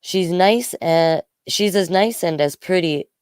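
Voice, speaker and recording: A storyteller doing a good-natured schoolboy reporter, clear-voiced. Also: medium pitch, slow pace, a little mellow, no echo, studio quality, voice pushed up, natural voice. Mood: admiring.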